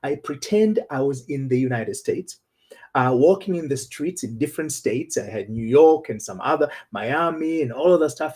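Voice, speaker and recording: Man, middle-aged, husky voice, earnest personal storytelling. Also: a little high, dark tone. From Tanzania. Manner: earnest and personal, with frequent restarts. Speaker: relationship coach. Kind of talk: storytelling talk show monologue.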